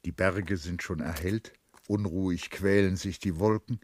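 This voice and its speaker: Deep-voiced male radio play narrator. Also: steady tone, a little slow, a little mellow.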